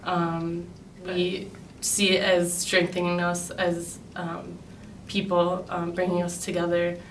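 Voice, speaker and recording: A young woman from Chicago answers interview questions in an earnest, steady way, leaning on frequent "um" fillers. Her voice sits a little low and barely moves in pitch. She is off-mic in a reverberant room with a faint hiss behind her. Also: takes it slowly, recorded from a distance. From Chicago, United States. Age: 20s. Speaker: interviewee, graduate student. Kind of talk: personal interview account. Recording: echoey room, speaker away from mic, faint noise.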